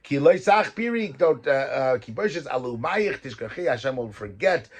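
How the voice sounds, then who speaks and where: Animated husky-voiced rabbi. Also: male voice, medium pitch, normal speed. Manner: mixes Hebrew verses with English explanation, animated. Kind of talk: weekly Torah talk.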